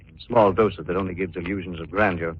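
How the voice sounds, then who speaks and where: An actor playing a calm, thoughtful gentleman detective in 1940s radio drama style. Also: deep voice, quick pace, natural voice. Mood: grave, reflective. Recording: dull, noisy 1940s radio recording.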